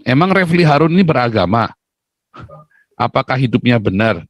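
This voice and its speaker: A man talking casually as a host, opinionated and animated, mocking and fond of rhetorical questions. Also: mid-range voice, moderate pace, dry recording, clean studio sound. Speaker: talk show host.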